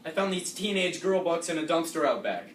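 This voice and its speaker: A man's voice: fairly high pitch, lively intonation, fairly quick, distant mic. A man narrating comedic readers' theatre, recorded in an echoey, noisy live room.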